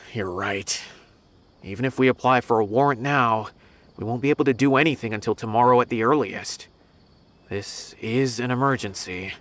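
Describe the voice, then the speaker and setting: A man's voice: mid-range voice, normal speed, fairly bright tone, close to an everyday voice. Man playing an earnest, anxious young officer. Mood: anxious, hesitant. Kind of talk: video game character dialogue. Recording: music behind voice.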